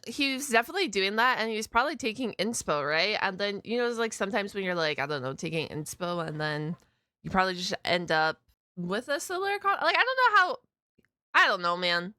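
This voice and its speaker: A woman hosting a reaction video, commenting casually in an excitable, rambling, slangy way. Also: medium pitch, brisk, no room sound, clean studio sound.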